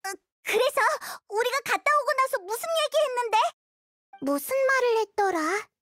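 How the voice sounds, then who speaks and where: A woman voicing a tiny, excitable, inquisitive fairy girl in a video game, childlike and expressive. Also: very high voice, normal speed, dry recording. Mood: puzzled.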